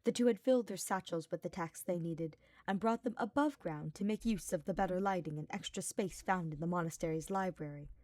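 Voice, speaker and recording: A woman's voice: fairly low pitch, a little fast, hardly any pauses, a little mellow, dry recording. A woman reading a scripted story aloud as its narrator. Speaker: fan fiction podfic narrator.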